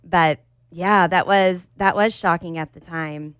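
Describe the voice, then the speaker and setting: Smooth, dry-voiced woman podcast co-host. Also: fairly low pitch, a little slow, dry recording. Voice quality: slightly raspy. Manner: animated, bursts into cheery put-on voices. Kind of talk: casual chat.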